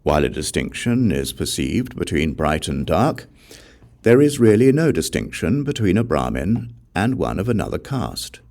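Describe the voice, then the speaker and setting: A man playing a defiant outcast king in a scripture audiobook. The voice is low and gravelly, swinging widely in pitch through emphatic, rhetorical challenges to pride. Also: big pitch swings, moderate pace, a little mellow. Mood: challenging. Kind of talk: dramatic audiobook reading of scripture.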